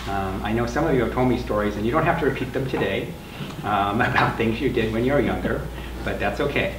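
An older man speaks in a low voice as he introduces an oral history panel. He is conversational and explanatory. The mic is distant and there is clear noise behind him in the room. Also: quick pace, echoey room. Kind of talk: informal spoken introduction to a panel. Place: meeting room with a small audience.

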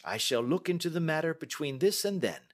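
A man's voice: fairly high pitch, very animated, brisk, voice pushed up. A man reading aloud and voicing a middle-aged male detective in a precise, formal, assured manner.

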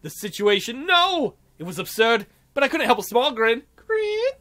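A man's voice: very high voice, sing-song, normal speed, pushed and bright. A man reading a visual novel aloud in a theatrical way, adding irreverent joking commentary.

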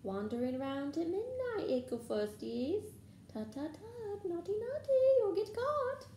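A woman's voice: very high voice, big pitch swings, measured pace, mellow, voice pushed up, full character voice. A woman voicing a gleeful, taunting male poltergeist in a mocking sing-song for a storybook. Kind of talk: storybook character voice in a read-aloud. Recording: roomy echo, faint background noise.

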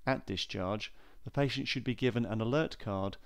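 A man narrating a scripted training film in a measured, formal, clearly enunciated way. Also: on the low side.

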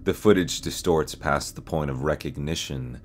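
A young man reading scripted narration as host, in a measured, serious, ominous storyteller manner. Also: a bass voice. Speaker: host and narrator of a horror explainer channel.

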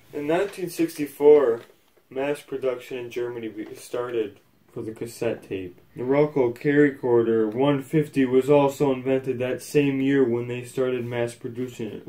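Young male presenter, relaxed and dark-toned, loosely scripted explainer with halting, offhand delivery. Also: mid-range voice. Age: young adult. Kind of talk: casual scripted history presentation. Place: small indoor room at home.

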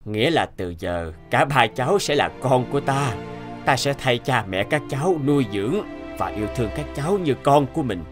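An actor playing a kind, middle-aged doctor in a cartoon, speaking gently and with sympathy. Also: male voice, mid-range voice, lively intonation, a little fast, music in the background, close to an everyday voice. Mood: warm. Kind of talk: Vietnamese cartoon dubbing.

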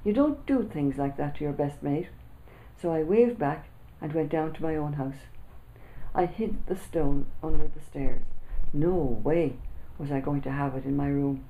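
An older woman reading her own children's book aloud with lively first-person storytelling, seated in a small room. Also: sits low, unhurried, slight background noise, poor audio. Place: small indoor room with printed backdrop.

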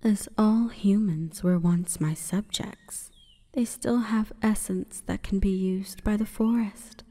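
A woman voicing an imperious, calm forest goddess in a breathy, whispering ASMR roleplay, with stately, measured delivery. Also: medium pitch. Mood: cold, commanding. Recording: long reverberant echo.

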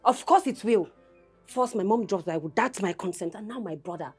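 A woman's voice: medium pitch, lively intonation, normal speed, breathy edge, soft background music. A woman acting an upset, firm young wife in a film drama, insistent and repeating herself. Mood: upset, indignant.

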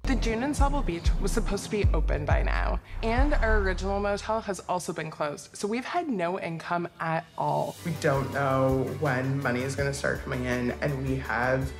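A woman's voice: low voice, moderate pace, over music. A woman motel owner talking on a reality show.

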